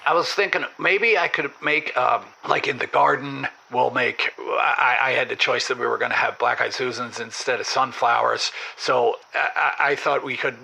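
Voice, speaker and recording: A stammering, creaky, sharp-voiced meek husband. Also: male voice, mid-range voice, steady tone, full character voice, rough recording. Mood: aggrieved, unsure. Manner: halting, stammering, repeats words. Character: meek, aggrieved husband calling an advice show. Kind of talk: improvised comedy call-in.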